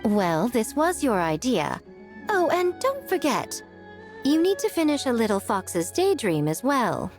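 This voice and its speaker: Self-assured fox shrine woman for a game, high animated voice, eloquent, sly, over music. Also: measured pace, full character voice. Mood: teasing. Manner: poised, teasing, eloquent. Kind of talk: scripted video game voice acting. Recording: music behind the voice.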